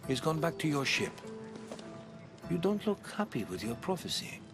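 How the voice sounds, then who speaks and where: Wry scholarly historian, dry, lively pitch, music behind. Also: male voice, moderate pace. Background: game score music.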